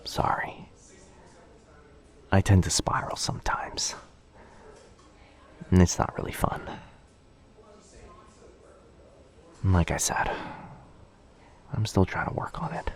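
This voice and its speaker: A man playing a shy, sweet, anxious young stranger in a boyfriend ASMR roleplay, speaking hesitantly, softly and earnestly. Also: low voice, fairly even intonation, a little slow, long pauses. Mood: anxious, apologetic. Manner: hesitant, soft-spoken, earnest, self-doubting.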